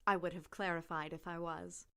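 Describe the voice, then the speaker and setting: A woman playing a formal, curt, overworked lab colleague in an audio drama, speaking in a clipped, deadpan, precise way. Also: lowish voice, moderate pace, gravelly, clean tone. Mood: dry.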